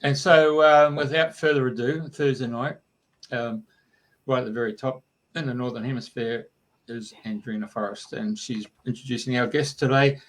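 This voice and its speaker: A man with an Australian accent introducing the show in a relaxed, halting way. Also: mid-range voice, rough recording. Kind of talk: casual introduction.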